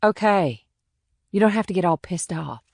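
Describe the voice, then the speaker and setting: A woman's voice: lowish voice, animated, normal speed, vocal fry, darkish tone, no echo, clean studio sound. A woman playing a guarded, blunt, no-nonsense small-town cop in audiobook dialogue. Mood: guarded, dry.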